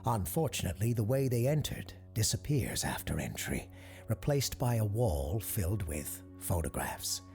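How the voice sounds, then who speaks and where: A low male voice narrates an eerie audio drama in a grave, deliberate way. The delivery is measured yet moves widely in pitch. Faint music plays underneath. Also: big pitch swings, a little mellow.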